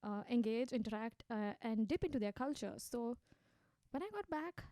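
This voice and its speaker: A woman in her thirties from India with an Indian accent, a native Hindi speaker, telling her story with animated warmth as a guest in a radio interview. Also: a little high, normal speed, no echo, clean studio sound.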